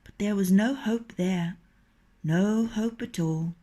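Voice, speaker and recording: Slow, expressive woman storyteller. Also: mid-range voice. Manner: expressive, unhurried. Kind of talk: reading a children's story aloud.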